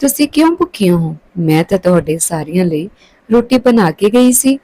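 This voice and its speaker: A woman's voice: medium pitch, big pitch swings, normal speed, dark tone, no room sound, low-quality recording. A woman voicing a caring, practical mother of young girls, with a motherly, instructing manner, in a story. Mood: puzzled.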